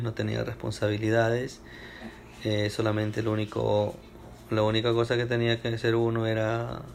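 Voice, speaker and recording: A man's voice: fairly low pitch, creaky, slight background noise. A young man from Ecuador answering oral history questions at home, hesitant with many fillers and restarts. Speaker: interviewee.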